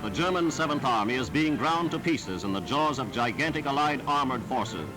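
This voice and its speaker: A man's voice: mid-range voice, lively intonation, moderate pace, loud music behind the voice, poor audio. A man reading scripted 1940s wartime newsreel narration in a formal, dramatic, declamatory manner. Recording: vintage 1940s newsreel soundtrack.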